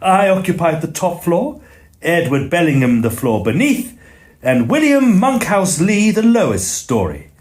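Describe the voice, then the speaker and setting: A man's voice: fairly high pitch, sing-song, a little slow, a little mellow. A man playing a genial, studious young Oxford student in a Victorian audio drama, with a British accent and polite, clipped period speech. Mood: measured narration. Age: young adult.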